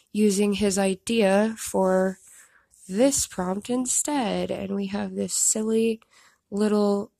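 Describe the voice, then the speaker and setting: A young woman with a stuffed-up nose, casually talking through her drawings into a phone and poking gentle fun at her own work. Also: medium pitch, gravelly, no room sound. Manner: self-deprecating, rambling with restarts. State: stuffed up, congested. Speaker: artist showing her drawings. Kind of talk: casual narration of a drawing time-lapse. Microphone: phone camera.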